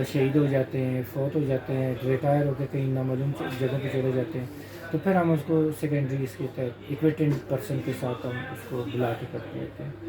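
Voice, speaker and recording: A Pakistani man with a slightly high voice gives an informal legal explanation. He works through it methodically, point by point. Clear chatter of other people runs behind him. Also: poor audio. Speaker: lawyer explaining law.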